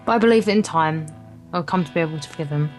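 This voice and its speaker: A woman with a slightly low voice reads a story game aloud as a casual co-player. She trips on the odd word and carries on. Guitar music plays softly behind her. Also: rapid-fire. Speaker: guest co-player reading the narration aloud. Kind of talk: reading a visual novel aloud, informal let's play. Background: game's guitar music.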